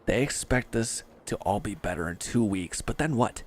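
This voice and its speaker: A man's voice: fairly low pitch, expressive, normal speed, slight background noise, lightly acted. A man playing a bitter, proud and resentful injured villain in a dramatic audio roleplay, speaking with sardonic, confrontational edge. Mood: bitter, despairing.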